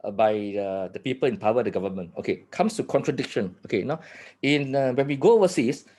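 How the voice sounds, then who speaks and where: A man's voice: medium pitch, fast, darkish tone. A man with a Malaysian accent hosting a casual interview, informal in his wording and acting out little exchanges. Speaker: interview host.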